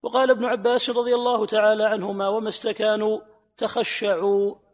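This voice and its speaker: Male student reader, notably high voice, projected scripture reading with long hall reverb. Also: flat delivery, brisk. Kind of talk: reading a religious text aloud.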